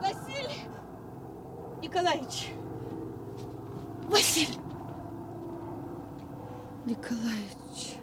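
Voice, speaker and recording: A woman's voice: extremely high pitch, very animated, very slow, pauses often, noisy background, exaggerated voice. An actress playing a tender, anxious woman in love, emotional and pleading, in a radio war drama. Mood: eager, tender. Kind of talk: Soviet radio drama acting.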